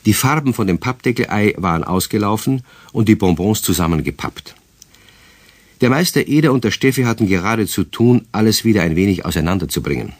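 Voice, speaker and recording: Male narrator for radio play: low voice, lively intonation, close dry sound. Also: no room sound.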